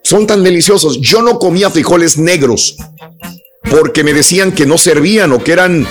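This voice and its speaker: A man's voice: on the high side, normal speed, soft background music. A man hosting a conversational live radio show, addressing listeners directly and repeating phrases for emphasis. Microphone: close studio microphone.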